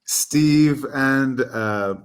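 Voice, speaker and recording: A young man from South Africa with a South African accent, a native Afrikaans speaker, talking as a guest over a video call in a warm, hesitant way with many fillers. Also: mid-range voice, drawn-out words, smooth. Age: young adult. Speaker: podcast guest, actor. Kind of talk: casual interview conversation.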